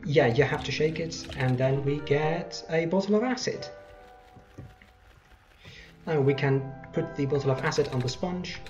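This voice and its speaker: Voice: mid-range voice, unhurried, long pauses, a little mellow, faint music behind. A let's play host giving casual, rambling game commentary with self-deprecating asides.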